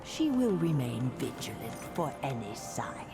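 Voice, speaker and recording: A woman's voice: extremely low pitch, big pitch swings, heavily acted. A woman playing an aged, haughty alien empress in a video game cutscene, speaking in a formal, regal manner. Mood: solemn, commanding. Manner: slow, formal, regal delivery. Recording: loud music under the voice.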